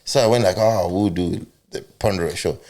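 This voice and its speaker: A man's voice: low voice, fairly quick, darkish tone. A man with a Zimbabwean accent and Shona as his first language, chatting informally as a talk show host. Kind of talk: casual interview chat.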